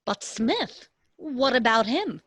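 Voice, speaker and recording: A woman's voice: fairly high pitch, sing-song, slow, a bit bright, husky, dry recording, full character voice. An actress in a radio play, playing a concerned, formal woman who is polite but urgent.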